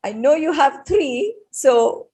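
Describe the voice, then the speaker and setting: A woman hosting an interview, asking rapid-fire questions with giggly, encouraging reactions. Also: sits high, lively intonation, mellow. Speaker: interview host.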